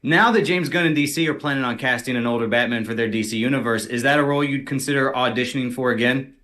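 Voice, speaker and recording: Direct male interviewer, mid pitch. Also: fast talker, fairly bright tone. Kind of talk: interview questions.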